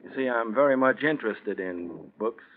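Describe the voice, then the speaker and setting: An actor playing a dry, mock-polite, probing police desk sergeant in a 1940s radio crime drama. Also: male voice, mid-range voice, lively intonation, moderate pace, lightly acted. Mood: sly, toying. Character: sly, sardonic male police desk sergeant. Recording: muffled 1940s radio recording.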